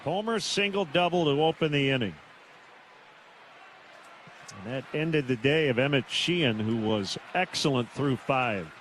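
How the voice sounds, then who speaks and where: A man calling live baseball on the radio in clipped, measured phrases with pauses between plays. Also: medium pitch, a bit bright. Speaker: baseball play-by-play announcer. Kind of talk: live radio play-by-play commentary. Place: stadium broadcast booth. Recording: noisy stadium radio broadcast.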